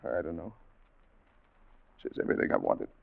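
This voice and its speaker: Lovestruck ship's officer, emotional, lively swings in pitch, radio drama, dull vintage sound. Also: male voice, moderate pace, creaky, full character voice. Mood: grief-stricken. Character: earnest, lovestruck ship's officer who gives orders. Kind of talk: old-time radio drama acting. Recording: muffled vintage radio recording.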